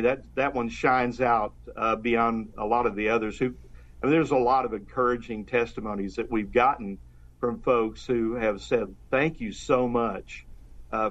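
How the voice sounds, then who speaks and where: A pastor speaking earnestly and fervently about faith in a casual conversation, often restarting his sentences. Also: mid-range voice. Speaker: pastor, guest. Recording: dull, lo-fi sound.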